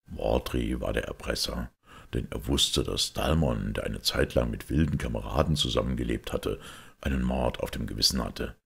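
A man voicing a calm, shrewd priest detective who explains a crime gravely in a gravelly voice, in an audiobook. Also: a bass voice, normal speed, full character voice. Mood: grave explaining.

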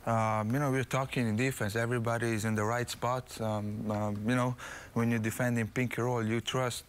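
Halting man with a Slovene accent. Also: mid-range voice, little pitch movement, a little hiss or hum. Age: 30s. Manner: modest, halting, many fillers. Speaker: pro basketball player, guest. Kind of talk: postgame interview. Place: TV studio set.

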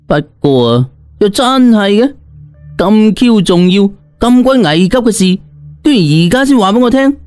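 A man's voice: high-pitched, expressive, moderate pace, darkish tone, clean tone. A man narrating a story as an audiobook storyteller in colloquial, slangy first person, dramatizing it expressively. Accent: Cantonese. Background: background music.

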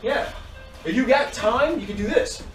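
A man's voice: extremely high pitch, moderate pace, over music. A man teaching martial arts in a casual, loose way, talking through moves with little sound effects as he demonstrates. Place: large indoor training room with mats. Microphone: fixed camera high in a corner, far from the speaker. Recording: echoey room, voice from far off.

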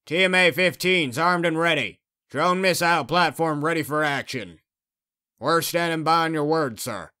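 A man voicing a gung-ho military vehicle crewman for game unit lines, in a clipped, brisk delivery. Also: a little high, sharp tone, clean tone. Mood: crisp, dutiful.

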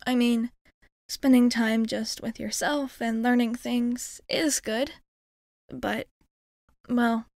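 A woman's voice: a little high, steady tone, slow, vocal fry, long pauses, a little mellow, dry recording, clean studio sound. A woman playing a friendly, shy young alien crewmate in an ASMR roleplay, speaking hesitantly and earnestly in casual girlish phrasing. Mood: earnest, grateful.

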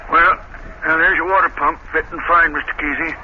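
A man's voice: a little high, steady tone, brisk, close to an everyday voice. An actor playing a nervous, superstitious rustic handyman in a folksy, hesitant old radio drama style. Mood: spooked, folksy. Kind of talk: 1930s-40s radio drama acting. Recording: muffled, hissy old radio recording.

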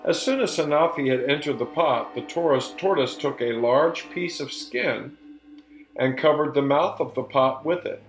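Guy, middle aged, telling a folktale real deliberate and theatrical, roomy echo, music behind. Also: on the high side. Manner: deliberate, emphatic storytelling delivery. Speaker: storyteller and presenter. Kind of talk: theatrical folktale narration for learners.

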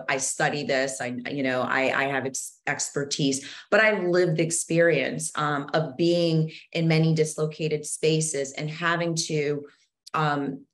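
Low-pitched woman, deliberate and earnest. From born in Zambia, moved to the United States at age four. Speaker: guest author and co-host. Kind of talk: personal storytelling and explanation in a video interview. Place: home office with bookshelves, indoors. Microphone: video call.

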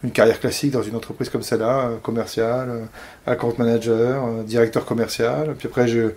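A man in his forties from Paris, speaking as an interview guest and pausing often on fillers and restarts. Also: mid-range voice, level tone, a little mellow. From Paris, France. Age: 40s. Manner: hesitant, many fillers and restarts. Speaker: interview guest, company founder. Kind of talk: casual interview talk.

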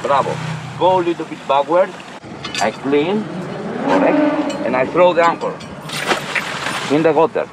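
A man's voice: a little high, sing-song, a little slow, pauses often. A middle-aged man from Corfu, a boat rental captain, giving short, clipped instructions in non-native English on an open boat at sea. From Corfu, Greece. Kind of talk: casual instructions. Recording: noisy outdoor boat audio.

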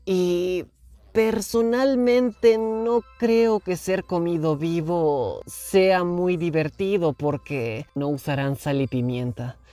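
An actor plays a menacing cartoon fox who leads rebels. The delivery is slow, drawn-out and mock-polite, with sarcasm throughout and faint music underneath. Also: mid-range voice, darkish tone, no echo, close to an everyday voice. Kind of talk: animated series fan dub.

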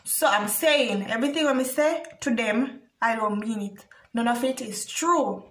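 A woman's voice: fairly high pitch, a little slow, a bit bright. A young adult woman with a Jamaican accent chatting casually to camera as a video blogger. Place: small bare indoor room. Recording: echoey small room.

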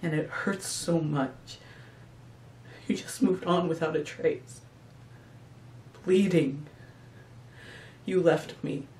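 A heartbroken, abandoned friend acted by a man recites a bitter, wistful monologue at a very slow, mournful pace. The sound is echoey and a little distant. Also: on the high side, a little mellow. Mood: bitter, hurt. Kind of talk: dramatic audition monologue. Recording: echoey room, distant mic, faint noise.

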